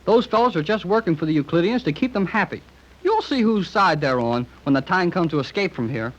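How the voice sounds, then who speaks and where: A brash young American adventurer in a 1930s radio serial. His delivery is folksy and exclamatory, leaping up and down in pitch. The recording is dull and vintage. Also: animated, fairly quick. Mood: confident. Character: brash, eager, slangy young male adventurer.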